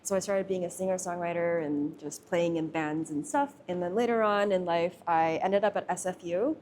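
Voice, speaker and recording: A young woman who grew up in Taiwan talks easily and casually, with plenty of filler words. Her voice sits fairly low. A faint ambient hum runs under her speech. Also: normal speed, a little mellow. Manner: chatty, uses many fillers. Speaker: sound artist guest. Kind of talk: casual conversation.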